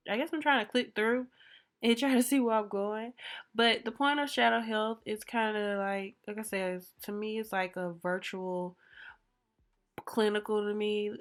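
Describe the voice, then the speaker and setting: A young adult woman, a nursing student, chatting casually to her viewers with a stuffy nose from a sinus infection, often pausing on ums and restarting. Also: mid-range voice, a bit bright. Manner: unhurried, with frequent ums and restarts. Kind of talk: casual vlog talk to camera.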